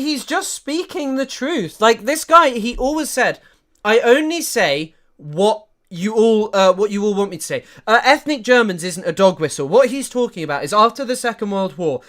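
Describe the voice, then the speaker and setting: Animated chatty man commenting live. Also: sits high, moderate pace. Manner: animated, chatty, many fillers and restarts. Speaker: political commentary streamer.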